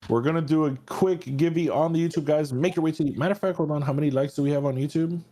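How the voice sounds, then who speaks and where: A man's voice: a little high, fairly even intonation, fast talker, dark tone. A man hosting a live trading stream, brash and crude, hyping the chat between trades. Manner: crude, brash hype talk. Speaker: host.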